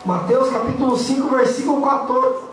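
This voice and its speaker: A hoarse man with a Brazilian accent who has a cold, preaching to young people at church in a casual, slangy way. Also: very high voice, brisk, music in the background. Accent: Brazilian Portuguese. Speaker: youth preacher. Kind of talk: youth sermon. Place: church hall. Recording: echoey church from far off.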